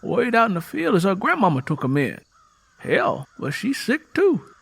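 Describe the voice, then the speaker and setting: A man voicing a poor, defiant young rural laborer with a quick temper and folksy country speech in an audiobook. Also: high voice, huge pitch swings, vocal fry, a bit bright, breathy edge, pitched above the performer's own voice, heavily acted. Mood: grim, certain. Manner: folksy rural vernacular, double negatives. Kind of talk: audiobook character dialogue.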